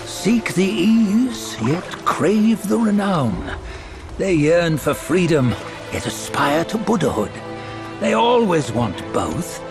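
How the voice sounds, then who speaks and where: A man playing a stern, scornful celestial judge in a fantasy game, speaking in measured, archaic, moralizing phrases. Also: on the high side, full character voice. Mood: solemn, admonishing. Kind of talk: theatrical fantasy game dialogue.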